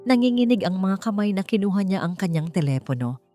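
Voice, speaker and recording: A woman's voice: medium pitch, expressive, brisk, warm tone, soft background music. A woman reading a scripted, dramatic romance story as its narrator.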